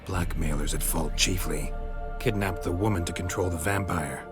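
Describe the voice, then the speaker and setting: A man playing a stoic, world-weary monster hunter in a video game, speaking in terse, deadpan phrases. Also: deep voice, normal speed, loud music behind the voice, natural voice. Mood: calm, matter-of-fact.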